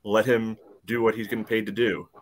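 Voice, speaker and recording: A low-voiced man gives casual sports analysis as a podcast co-host. His delivery rambles and is peppered with filler phrases, over a little background noise. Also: flat delivery, a little fast. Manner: rambling, peppered with "you know".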